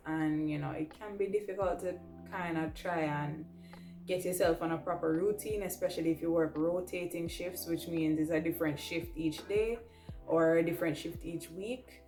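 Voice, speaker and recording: A woman's voice: lowish voice, a little mellow. A young adult woman, presenting casually to camera in a conversational, emphatic way, often checking in with the listener. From Jamaica. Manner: conversational, emphatic, ends points with "right?". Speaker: vlogger, air traffic control trainee and pilot. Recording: roomy echo, music underneath.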